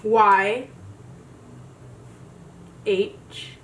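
A woman spelling words aloud among friends, laughing and joking as she slowly works through the letters. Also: medium pitch, steady tone, a bit bright. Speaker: spelling challenge contestant. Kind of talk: casual chat among friends. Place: small room with a couch. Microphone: handheld mic, far camera. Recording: roomy echo, distant mic, faint noise.

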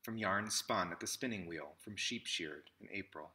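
A man in his thirties with a bright, forward voice, pitched a bit low. He reads a children's picture book aloud, setting out short phrases one by one with pauses between them. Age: 30s. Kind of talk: read-aloud storybook narration. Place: small indoor room with classroom wall displays.

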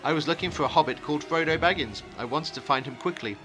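A man voicing a calm, secretive and wary wandering stranger, with a brisk, commanding and ominous way of talking, as in a fantasy storybook. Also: a little high, music in the background, pitched above the performer's own voice, lightly acted. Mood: measured, amused.

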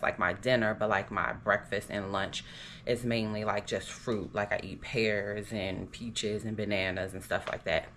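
A woman's voice: extremely low pitch, a bit bright, slight background noise. Chatty young American woman vlogging. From United States. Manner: chatty, lots of "like" and "um" fillers, upbeat. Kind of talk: casual vlog chat.

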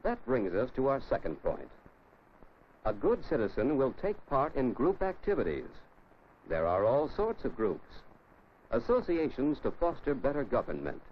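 A man's voice: medium pitch, wildly swooping pitch, moderate pace. A man with an American accent reading didactic scripted narration for a 1950s instructional film, addressing the listener directly. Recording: muffled, noisy old 1950s film soundtrack.

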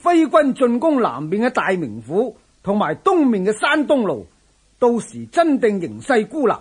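A man's voice: high voice, wildly swooping pitch, moderate pace, slightly rough, full character voice. An actor playing a loyal, shrewd general who addresses his lord formally and earnestly in a historical radio drama. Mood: earnest counsel.

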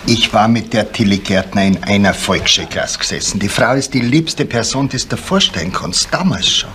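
Small-town doctor, animated mid-pitched voice, earnest and flustered; vintage radio drama with background noise. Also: male voice, normal speed. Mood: defensive. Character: earnest, flustered provincial male doctor, old friend. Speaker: actor in a crime radio play. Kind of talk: 1970s German radio crime drama acting.

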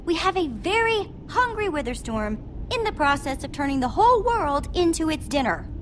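A woman's voice: sits high, sing-song, normal speed, slight background noise. An actress playing an earnest, plucky young female adventurer and peacemaker in a video game. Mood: earnest, urgent. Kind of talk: animated video game acting.

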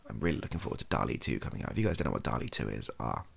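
British male, 30s, extremely low and croaky, soft ASMR delivery, loose self-deprecating ramble. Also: very animated, rapid-fire, a little hiss or hum. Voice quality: soft, gravelly, husky. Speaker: video host, ASMR creator. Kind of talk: improvised softly spoken ASMR ramble. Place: small bedroom office, indoors.

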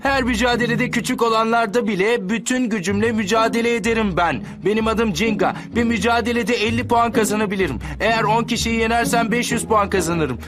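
A man's voice: extremely high pitch, a little fast, hardly any pauses, over music. A man playing an earnest, determined, friendly teenage boy hero in a dubbed anime. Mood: determined, eager.

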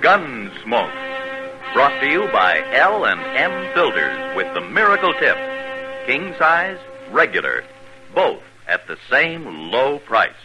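A man's voice: mid-range voice, huge pitch swings, slow pace, low-quality recording. A man working as a radio announcer reads a script in a dramatic, emphatic 1950s style. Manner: punchy, emphatic. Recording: vintage radio broadcast with loud music. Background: loud music.

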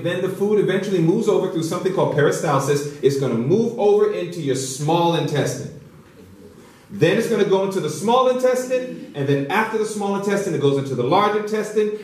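A man's voice: high voice, animated, a little fast. Middle aged guy teaching health in a really echoey hall, mic far off, emphatic, repeats himself. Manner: emphatic, instructive, repeats key phrases. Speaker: health lecturer at a church. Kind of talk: public health lecture with rhetorical questions. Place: indoor church hall, speaking to an audience. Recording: very echoey hall, picked up from afar.

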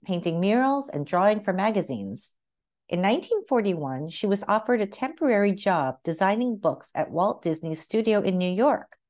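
A woman narrates a children's picture book in a slightly low voice. Her pitch moves widely as she reads, and she phrases each sentence carefully, in an expressive storytelling style. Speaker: read-aloud narrator.

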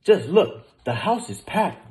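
A gruff storybook theater boss, put on by a man reading aloud, barking orders insistently. The voice jumps up in pitch and gets loud. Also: sing-song, slow. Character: gruff, bossy male theater manager.

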